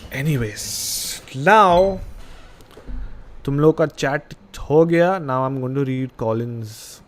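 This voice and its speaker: A young man with an Indian English accent hosts a late-night live stream while a little tipsy. He rambles, laughs easily and reads the chat aloud in a casual, loose way. His voice sits at an ordinary middle pitch for a man. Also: normal speed. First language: not English. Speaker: podcast host.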